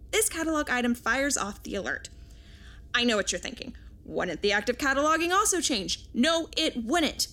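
Woman playing an animated, nerdy time-travel scientist. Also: fairly high pitch. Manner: explanatory, technical. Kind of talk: audio drama acting.

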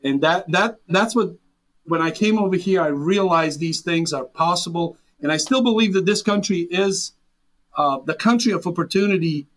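A man's voice: high-pitched, normal speed, a bit bright. A man in his forties from Serbia, speaking English with a Serbian accent as an interview guest, earnest and full of restarts. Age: 40s. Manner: earnest, with frequent restarts and fillers. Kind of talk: interview conversation.

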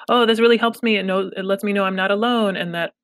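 A woman's voice: medium pitch, steady tone, fast, clean tone, no room sound, studio quality. A woman therapist from Los Angeles, a Pashto speaker, talking candidly and reflectively with self-deprecating humor in a conversational interview. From Los Angeles, United States. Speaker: therapist, guest.

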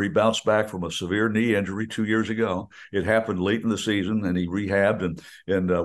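An older man, a veteran radio announcer, chatting in a folksy, enthusiastic way and often restarting his sentences. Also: on the low side. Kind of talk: casual chat on a radio show.

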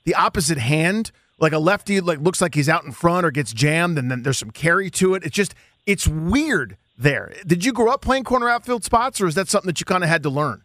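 Male sports co-host, quick, slightly high voice, chatty and slangy, close studio sound. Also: animated, fairly bright tone, no room sound. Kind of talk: casual, chatty interview. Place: close dry radio studio.

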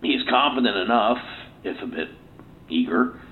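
Man as defensive scientist, low tinny voice. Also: expressive, normal speed, close to an everyday voice. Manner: curt, defensive, self-assured. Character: defensive, proud male research scientist under questioning. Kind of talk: audio play interview acting. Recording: tinny phone-like recording, faint noise.

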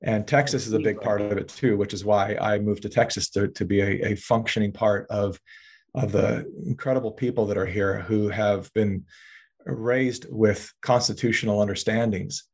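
A man with a fairly low voice talks at length in an interview, earnest and rambling. He often restarts words mid-sentence as he builds his point. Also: steady tone, normal speed, darkish tone. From California. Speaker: filmmaker guest. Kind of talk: political interview talk.

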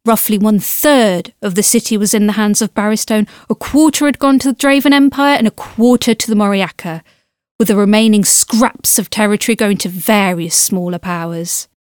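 An actress playing a composed historian who reads her chronicle in a deliberate, literate audio drama narration with dramatic pauses. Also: medium pitch, clear, resonant tone, no room sound, studio quality, natural voice.

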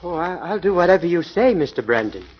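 A stammering nervous waiter. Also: male voice, fairly high pitch, very animated, quick pace, husky. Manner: stammering, hesitant. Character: nervous foreign male waiter, timid and eager. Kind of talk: 1940s radio acting. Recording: dull old radio broadcast.

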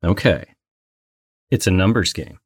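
A man's voice: sits low, lively intonation, a little slow, husky, no room sound, studio quality. A man co-hosting a casual trivia game, bantering playfully and announcing like a mock game-show host. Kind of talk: casual bantering quiz chat.

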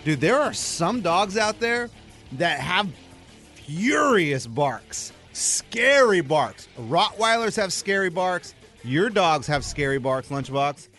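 A middle-aged man co-hosting a radio show, telling stories in an animated way and laughing often. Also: high voice, slow pace, soft background music. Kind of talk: casual studio banter.

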